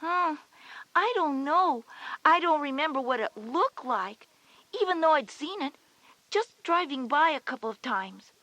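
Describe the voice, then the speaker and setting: A woman's voice: high voice, animated, unhurried, pushed and bright, no room sound, exaggerated voice. A woman playing a confident schoolgirl big sister who explains things reassuringly in a scripted children's audio drama. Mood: matter-of-fact explaining.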